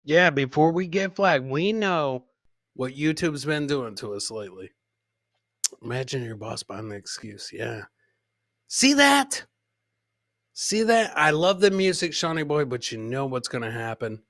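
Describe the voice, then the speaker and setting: A man hosting a live comedy podcast, casually reacting to funny clips in a rambling, jokey, animated way and repeating his catchphrases. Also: mid-range voice, measured pace, dry recording, clean studio sound.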